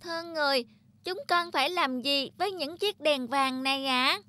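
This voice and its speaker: Woman as an eager high-voiced angel child. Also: normal speed, bright, forceful tone, no room sound. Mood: eager, respectful. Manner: polite, eager. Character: eager little angel pupils, polite children.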